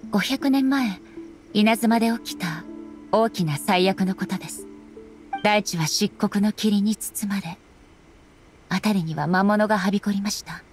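Game role: actress as a dignified immortal female sovereign, composed, formal, mid-pitched, light music underneath. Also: natural voice. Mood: grave, somber. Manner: formal, polite, measured archaic speech. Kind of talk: anime game dialogue acting.

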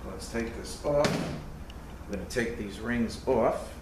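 A man's voice: on the low side, takes it slowly. A man in his fifties with a New York accent, talking casually and rambling a bit with fillers while he works on a transmission in a repair shop. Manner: plainspoken, rambling with fillers, hands busy working. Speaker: transmission mechanic. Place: cluttered indoor repair shop. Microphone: camera mic, a few feet away. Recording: echoey shop, distant mic, faint hum.

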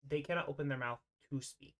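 A game master narrating an improvised tabletop roleplay over an online voice call, often pausing mid-sentence. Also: medium pitch.

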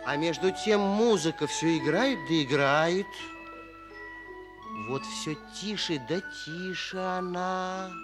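A man narrating a children's fairy tale in an expressive, old-fashioned 1940s radio storytelling style. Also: high voice.

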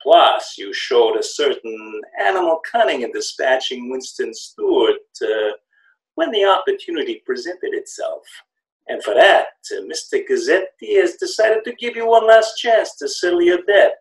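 A man's voice: on the high side, animated, moderate pace. An older actor playing a mock-polite, wordy, menacing gangster's henchman in a radio play. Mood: sardonic. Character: smooth-talking, sardonic, menacing gangster's henchman. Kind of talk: old-time radio play acting. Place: small home room, video call. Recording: roomy home video call.

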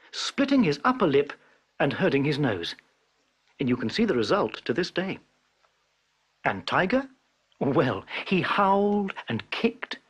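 A man narrates a children's storybook with a husky, slightly raised voice. His pitch swings widely as he plays the story out, playful and lively.

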